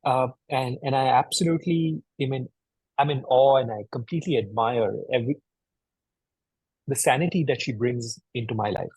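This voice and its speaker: A man's voice: mid-range voice, normal speed, darkish tone, breathy edge, no echo, poor audio. A man co-hosting a conversation, reflecting hesitantly and often repeating words as he thinks. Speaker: podcast co-host.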